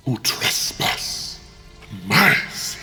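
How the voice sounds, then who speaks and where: A man voicing a menacing sea lord in a tabletop game, gravelly, with halting, pompous, commanding delivery. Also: music in the background. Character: menacing sea lord ruling over fish-folk tribe.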